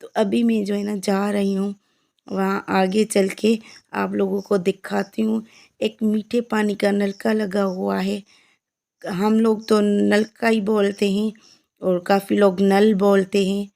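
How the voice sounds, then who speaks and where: A woman with a Pakistani accent and a hoarse, heavy voice, narrating her village vlog in a chatty, repetitive way. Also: mid-range voice, little pitch movement, slow pace, warm tone. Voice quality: heavy, croaky voice. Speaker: family vlogger. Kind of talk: casual vlog voice-over.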